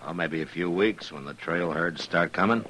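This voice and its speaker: Deep, forceful frontier marshal. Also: male voice, moderate pace. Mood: dry, unhurried. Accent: American. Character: laconic, steady frontier marshal of the Old West. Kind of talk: 1950s radio western acting. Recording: faintly noisy 1950s radio recording.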